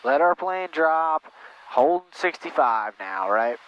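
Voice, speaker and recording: Higher-voiced male flight instructor on muffled intercom. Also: slow, clean tone. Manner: encouraging, patient, repeats instructions. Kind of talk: step-by-step in-flight coaching. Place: small airplane cockpit in flight. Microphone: aviation headset. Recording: muffled intercom audio, steady engine noise.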